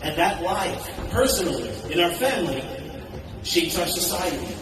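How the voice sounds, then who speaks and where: A man with a slightly high voice gives an eager, chatty talk at a conference. He is picked up from a distance in a large, booming hall, and steady background noise runs under his voice. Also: normal speed. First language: English. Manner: enthusiastic, conversational, poses questions to the audience. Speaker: conference speaker. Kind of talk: public speech at a conference. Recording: echoey hall recorded from a distance, audible noise.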